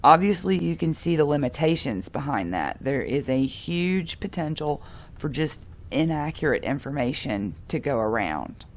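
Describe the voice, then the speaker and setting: Low, gravelly woman lecturing with a cold. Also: sing-song, normal speed, a little mellow. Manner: explanatory, with filler ums and restarts. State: stuffy from a cold. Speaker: psychology professor. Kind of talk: recorded lecture.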